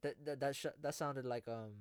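A man's voice: mid-range voice, fairly even intonation, moderate pace, clear, resonant tone, no room sound. Slangy young man, dry sound. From England. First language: Filipino. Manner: slangy, swearing, stammering restarts. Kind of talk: casual chat.

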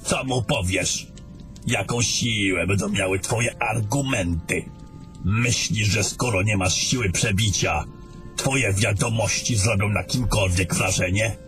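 A man's voice: on the low side, measured pace, fairly bright tone, soft background music. A man playing a scornful, domineering battle mage in a fantasy audio drama, with a commanding and coarse way of talking.

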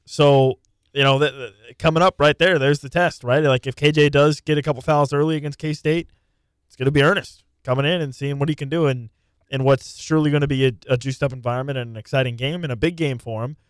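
A man's voice: medium pitch, steady tone, fast, clear, resonant tone, no echo, studio quality. A man co-hosting a sports talk show, chiming in often with short agreements. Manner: agreeable, chimes in with short affirmations. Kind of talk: casual sports chat.

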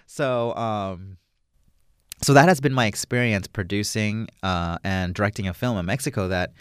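A man's voice: fairly low pitch, normal speed, clear, resonant tone, no echo, studio quality. A man, a film director, talking through an interview in a rambling, enthusiastic way with many fillers. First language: English. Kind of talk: casual interview answers.

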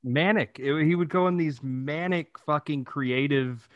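A man's voice: a little high, unhurried, no room sound, highs cut off. A man co-hosting a podcast in casual chat, talking emphatically and swearing freely. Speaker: podcast co-host.

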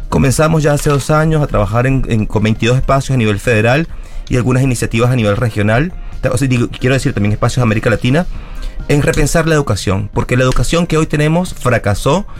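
Quick guest on a radio show, earnest, long explanations, trips over words a bit. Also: male voice, medium pitch, faint music behind. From Venezuela. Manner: earnest, speaks in long explanatory runs with small restarts. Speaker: guest, academic secretary of a school. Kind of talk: radio interview conversation. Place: radio studio.

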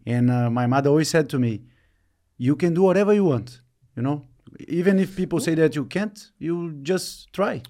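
Brazilian-born man, halting interview answers. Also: a little high, lively intonation, mellow. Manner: halting, searching for words, many fillers. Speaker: retiring football player, guest.

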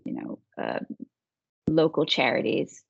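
A woman's voice: sits low, wildly swooping pitch, a little slow, creaky, breathy edge, dry recording, muffled recording. A woman in her thirties from England with a Manchester accent, telling her story as an interview guest and laughing easily. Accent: English, Manchester. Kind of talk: interview conversation.

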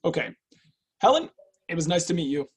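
A formal, probing caseworker, played by a man in a naturalistic screenplay read. The voice sits a little high for a man and has a husky edge. The delivery is measured, controlled and businesslike. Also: big pitch swings, full character voice. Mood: earnest, polite. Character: formal, probing male caseworker, controlled, secretly dutiful agent.

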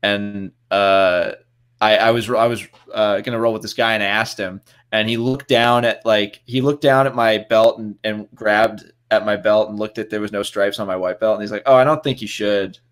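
A man's voice: fairly low pitch, moderate pace, clear, resonant tone. Chatty young man telling stories. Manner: animated, lots of restarts and quoted voices. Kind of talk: casual anecdotal storytelling.

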